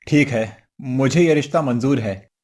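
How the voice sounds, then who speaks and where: A woman voicing a worried, humble older father who talks in a pleading, apologetic way, her voice lowered far below her own. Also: unhurried, dark tone. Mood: hesitant.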